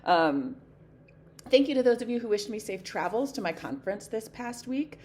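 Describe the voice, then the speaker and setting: A woman with a hoarse voice chatting casually to camera at her desk, rambling with many fillers and light laughter. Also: on the high side, very animated, normal speed, darkish tone, a little hiss or hum. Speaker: flosstube vlogger. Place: home desk.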